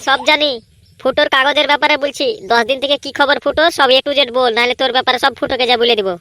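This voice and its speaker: A scheming cartoon village schoolboy, voiced by a woman. The voice is high and sharp and rattles along fast. It is cocky and bossy. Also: little pitch movement, dry recording. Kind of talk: comedy cartoon dubbing.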